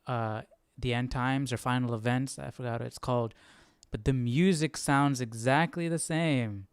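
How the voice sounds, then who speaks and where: Man musing casually, crisp dry voice. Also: medium pitch, steady tone, moderate pace, no room sound. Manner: musing, questioning, conversational. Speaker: host of a live Bible study. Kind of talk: casual thinking-aloud commentary.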